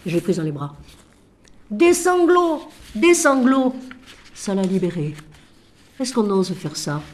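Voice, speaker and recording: A woman, a Franciscan sister and author, talks with feeling about her book, swinging from emphatic questions to quiet asides while she has a cold. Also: mid-range voice, unhurried. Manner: expressive, shifting from loud emphasis to quiet asides; asks rhetorical questions.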